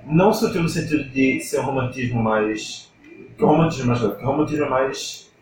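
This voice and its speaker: Um homem coapresentando um podcast, falando com hesitação enquanto procura as palavras. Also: male voice, medium pitch, a little fast, heavy reverb, distant mic, background noise. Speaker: podcast co-host. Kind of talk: casual podcast chat.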